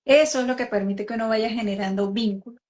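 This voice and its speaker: A woman born in Venezuela hosting a talk, speaking reflectively and with measured restarts about raising her children. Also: fairly high pitch, a little mellow, slight background noise. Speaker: host, mother. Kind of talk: conversational talk.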